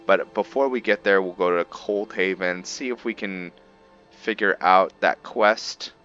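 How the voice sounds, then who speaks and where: A man's voice: low-pitched, measured pace, a bit bright, highs cut off, soft background music. A man casually commenting while he plays a video game, rambling and thinking aloud with many ums. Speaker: gaming video creator. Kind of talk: casual let's-play commentary.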